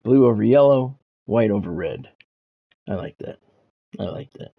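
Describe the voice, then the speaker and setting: A man's voice: on the low side, animated, normal speed, creaky, husky. An American man chatting casually and doing sports commentary as a game streamer, informal and wry with a self-deprecating streak. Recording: dull, lo-fi microphone sound.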